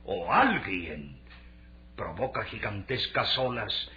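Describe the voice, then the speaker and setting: A man's voice: on the low side, very animated, slow pace, pushed and bright, a little hiss or hum, exaggerated voice. A man acting a decisive, grandiose shipping magnate in a radio serial, with emphatic, declamatory delivery. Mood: resolute. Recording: dull, muffled radio-drama recording.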